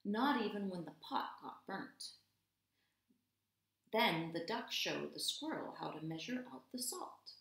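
A woman teacher in her thirties reads to young children. Her pitch moves widely and she takes her time, dramatic and deliberate, sometimes hushed. It is recorded in an echoey room at home. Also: lively intonation, gravelly. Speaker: English teacher. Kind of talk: expressive read-aloud for young children. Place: small room at home, indoors. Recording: roomy indoor echo.

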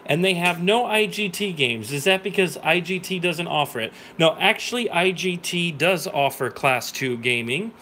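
An American man hosting a casual livestream with live play commentary, excitable and given to exclamations and swearing. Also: fairly high pitch, normal speed, few pauses, a little hiss or hum. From grew up in Wisconsin, United States. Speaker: livestream host. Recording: loud music behind the voice. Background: loud music, slot machine game audio.

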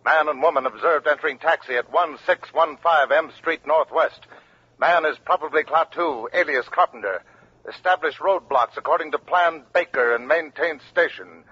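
Higher-voiced military radio dispatcher. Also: male voice, moderate pace, bright, forceful tone, slightly rough. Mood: official, urgent. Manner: formal, clipped announcing. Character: official, alert American military radio dispatcher, man. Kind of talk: 1950s radio drama acting. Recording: dull vintage radio recording, faint noise.

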